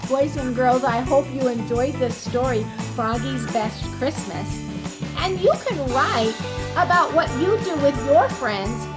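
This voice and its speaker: A woman with a high, very animated voice reads a children's story aloud at an unhurried pace. She speaks like a teacher, explaining words simply for young listeners. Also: loud music behind the voice, rough recording. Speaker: teacher reading a picture book aloud.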